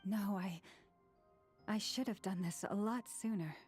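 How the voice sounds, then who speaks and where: Soft-spoken, composed game priestess played by a woman, mid-pitched, faint score behind. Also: soft background music, lightly acted. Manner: measured, soft-spoken, formal. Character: gentle young woman, a calm and thoughtful spiritual leader. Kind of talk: video game voice acting.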